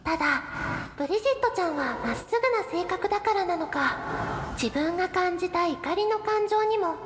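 A woman playing an earnest, put-upon high school girl, mildly exasperated, in a radio drama that she also narrates. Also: expressive, brisk. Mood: earnest narrating. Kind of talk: radio drama acting and first-person narration. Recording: music and crowd noise behind.

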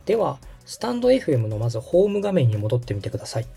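Man, mellow tone, animated pitch, patient tutorial delivery, polite, background music. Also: fast, creaky. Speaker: host and radio director guiding a setup. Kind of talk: conversational step-by-step tutorial.

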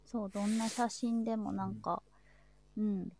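A woman hosts a live show in an easy, chatty way. Her voice is mellow and soft-edged, with a good deal of creak in it. She speaks informally, as in unscripted conversation. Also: mid-range voice, fairly even intonation, moderate pace, a little hiss or hum. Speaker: host of a live chat show. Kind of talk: casual chat.

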